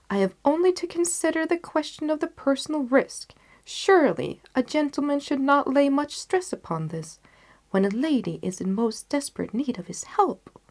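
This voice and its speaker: A woman reading the part of a confident, determined gentleman detective in a storybook, with emphatic, articulate delivery. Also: a little high, voice pushed up. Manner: emphatic, articulate, self-assured.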